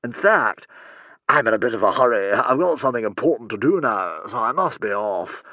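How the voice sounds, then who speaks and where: A man's voice: medium pitch, lively intonation, a little fast, bright, forceful tone, no echo, muffled recording. A man voicing a put-upon ordinary bloke of a hero, breezy and protesting, in a storybook.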